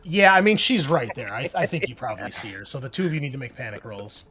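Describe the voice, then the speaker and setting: A man's voice: medium pitch, sing-song, fast, a crowd in the background. A man acting as game master, narrating the action and talking through rules casually in a tabletop roleplaying session.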